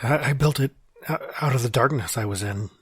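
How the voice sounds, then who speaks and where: A man gives voice to a lonely soul trapped inside his own mind, who stammers, repeats himself and sighs between thoughts. His voice is husky and a little breathy, sitting at an ordinary male pitch. It sounds dry and close, with no room around it. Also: a bit bright, no room sound. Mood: despairing. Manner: halting, stammering, sighing. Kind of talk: audio drama monologue.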